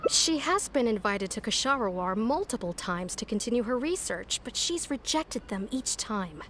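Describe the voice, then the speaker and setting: A woman's voice: on the high side, lively intonation, moderate pace, fairly bright tone, soft background music. A woman voicing a polite, earnest academy go-between in a video game, explaining things courteously.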